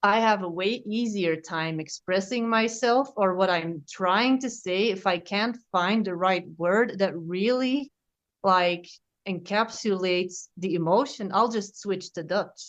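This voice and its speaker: A woman at a middle pitch, hosting a casual podcast conversation in English. She explains her thoughts patiently in full sentences, heard through slightly dull, lo-fi audio. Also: measured pace. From Belgium. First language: Flemish. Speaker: podcast host.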